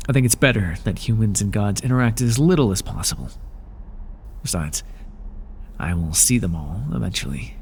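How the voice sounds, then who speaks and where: A man's voice: on the low side, vocal fry, breathy edge, exaggerated voice. A man playing an aloof, lonely god of the underworld in an ASMR roleplay, talking in a dry, languid and sardonic way.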